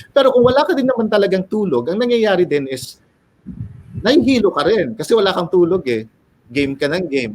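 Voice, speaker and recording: A man's voice: mid-range voice, low-quality recording. A middle-aged man with a Filipino accent, an educator explaining ideas in an interview, switching between English and Filipino. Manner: lecturing, mixes English and Filipino. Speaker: educator guest.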